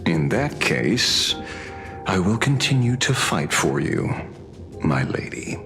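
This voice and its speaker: An actor playing a world-weary, sardonic older detective and ranger in a video game, with a theatrical, courtly drawl. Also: male voice, sits low, sing-song, takes it slowly, full character voice. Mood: solemn devotion. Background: background music.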